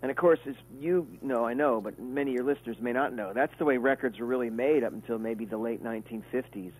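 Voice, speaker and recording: A man's voice: mid-range voice, quick pace, a little mellow, breathy edge, rough recording. A man, a guest musician talking casually in a radio interview, rambling with fillers and restarts. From Kentucky, USA.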